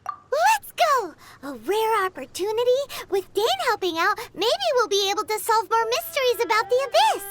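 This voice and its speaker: A woman voicing a tiny, excitable fairy companion girl who chatters and refers to herself in the third person, in video game voice acting. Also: very high voice, moderate pace, slight background noise, exaggerated voice. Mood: excited. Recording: game audio with loud music.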